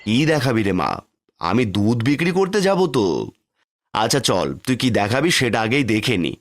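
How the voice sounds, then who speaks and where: Guy playing a kind village dad in a cartoon, normal mid voice, gentle and reassuring. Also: mid-range voice, animated, moderate pace, close to an everyday voice. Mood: surprised, kindly. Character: kindly, hardworking village milkman father. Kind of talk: cartoon fairy tale dialogue.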